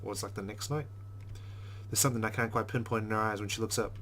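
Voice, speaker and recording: A man with a fairly low voice reads visual novel narration at a brisk clip. He is wry and conversational, like a host playing through a game, and there is a light hum and noise behind him. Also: steady tone, a bit bright. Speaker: let's play host. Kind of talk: reading game narration aloud with casual asides.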